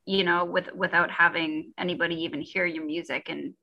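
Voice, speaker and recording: A woman's voice: lowish voice, fairly even intonation, brisk, muffled recording. A young woman from Ontario, a guest musician chatting casually in an interview, laughing often and trailing off with fillers. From Ontario, Canada.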